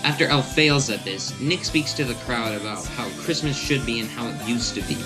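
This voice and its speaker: A man's voice: medium pitch, lively intonation, normal speed, over music, rough recording. A man reading scripted recap narration as a narrator in a radio drama.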